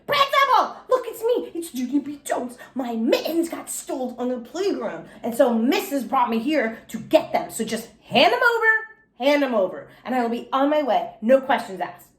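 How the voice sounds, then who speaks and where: A woman's voice: high-pitched, normal speed. Lady doing a chatty little kindergarten girl from a storybook, super dramatic, swoopy voice, echoey room. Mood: excited, demanding. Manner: childish grammar, emphatic and expressive.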